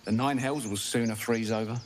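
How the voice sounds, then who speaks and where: A man's voice: mid-range voice, fairly even intonation, brisk, a little hiss or hum, lightly acted. An actor playing a grateful, wry father in a fantasy game.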